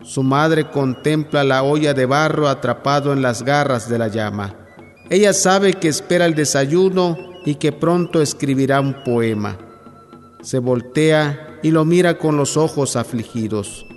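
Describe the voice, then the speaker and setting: A man in his forties from Tabasco, Mexico, whose first language is Yokot'an, reading his poetry in slow, measured recitation. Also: mid-range voice. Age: 40s. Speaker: poet. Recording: long reverb with faint music underneath.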